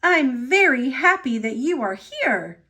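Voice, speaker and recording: An eager little boy engine from a storybook, voiced by a woman, high and very animated. He is good-hearted and earnest, speaking with excitable energy. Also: slow pace, husky, exaggerated voice. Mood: earnest, glad. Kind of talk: children's storybook character.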